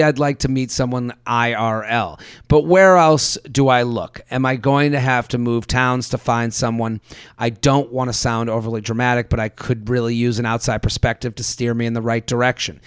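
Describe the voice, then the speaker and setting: A man hosting a podcast, reading ads and listener emails aloud in a lively, chatty way. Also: medium pitch, moderate pace, clear, resonant tone, no echo, studio quality. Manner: animated, reads ads with repeated promo codes. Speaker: podcast host.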